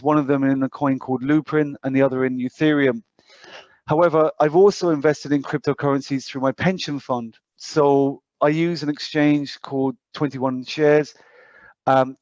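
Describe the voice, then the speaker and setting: A man's voice: medium pitch, a little mellow, no echo. Dull-sounding British man presenting. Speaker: financial advisor presenting. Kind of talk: informal client presentation on a group call. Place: online group call. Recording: dull, muffled online call audio.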